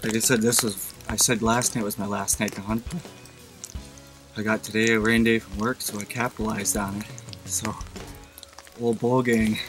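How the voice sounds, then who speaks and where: A man in his thirties filming himself on a hunt in a rainy cedar swamp, talking quietly into a nearby camera. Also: little pitch movement, moderate pace, long pauses, faint music behind, rough recording. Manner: hushed, low-key field commentary. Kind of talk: self-filmed outdoor vlog. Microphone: camera on a tripod at close range. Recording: outdoor camera mic with rain noise.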